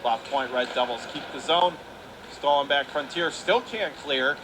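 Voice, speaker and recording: A man calling live ice hockey play-by-play on the radio in clipped phrases, getting excitable on big plays. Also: medium pitch, unhurried. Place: indoor ice hockey arena. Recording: clear rink noise behind the voice.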